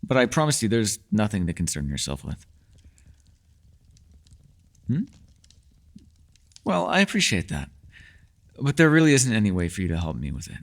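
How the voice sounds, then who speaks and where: A man's voice: fairly low pitch, wildly swooping pitch, normal speed, creaky, long pauses, heavily acted. A man playing a mysterious, ageless male elf in a fantasy ASMR roleplay, teasing yet secretive, with courteous, formal, old-fashioned phrasing. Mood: polite, evasive.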